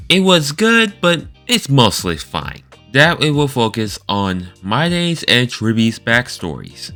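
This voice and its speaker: Man with a bright, swinging voice. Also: medium pitch. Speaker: video creator reviewing a game story. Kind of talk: informal opinion commentary over video.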